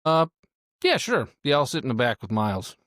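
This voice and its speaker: A man's voice: medium pitch, very animated, a little fast, a bit bright, no room sound, clean studio sound. A man playing a tabletop role-playing game, casually talking through his character's actions.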